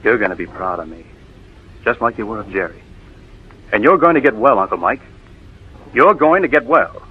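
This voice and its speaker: A man's voice: on the low side, expressive, normal speed, breathy edge. A man playing an earnest, impatient, devoted nephew in a 1940s radio drama, emphatic and given to stammering. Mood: earnest, urgent. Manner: emphatic, stammering when upset. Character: earnest, impatient young male heir, stubbornly devoted nephew. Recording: tinny, hissy old radio recording.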